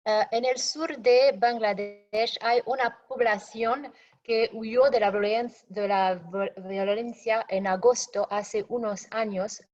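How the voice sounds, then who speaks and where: A woman presenting slides in a webinar in a language that is not her native one, pausing often with fillers and restarting words. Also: fairly high pitch, steady tone, darkish tone, muffled recording. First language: not Spanish. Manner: halting, frequent fillers and self-corrections.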